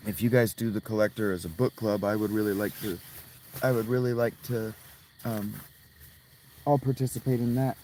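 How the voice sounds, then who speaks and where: A man with a hoarse, strained voice, rambling and swearing as he chats casually with viewers on a livestream outdoors at night. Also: on the low side, steady tone, moderate pace, dark tone, a little hiss or hum. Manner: rambling, profane, restarts often.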